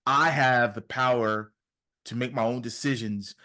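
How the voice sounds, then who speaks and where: A man's voice: mid-range voice, steady tone, a little slow, bright, forceful tone. A man in his mid-forties hosting a podcast, encouraging his guest and repeating himself as he talks. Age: 40s. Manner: encouraging, repeats himself, says listen a lot. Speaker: podcast host. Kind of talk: casual interview chat.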